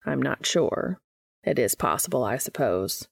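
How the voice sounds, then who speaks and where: A woman voicing an earnest, innocent male angel in an audio story, stiff, deliberate and formal, with a husky voice. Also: very deep voice, sing-song, no room sound, voice pushed down, full character voice. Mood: hesitant, pondering.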